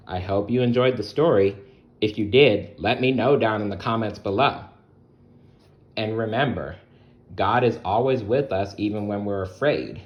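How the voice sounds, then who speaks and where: A man reading a children's story aloud in a gentle, expressive way, speaking directly to young listeners. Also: fairly low pitch, lo-fi sound. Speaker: storybook reader. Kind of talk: animated children's read-aloud.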